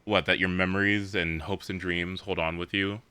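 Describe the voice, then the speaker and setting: A man's voice: low voice, flat delivery, moderate pace, bright, forceful tone, clean tone, dry recording. A man doing casual live-stream game commentary, informal and thinking aloud.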